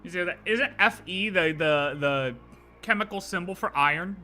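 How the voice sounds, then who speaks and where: A young man streaming a game with casual live reactions, excitable, slangy and jokey. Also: high voice, moderate pace, soft background music.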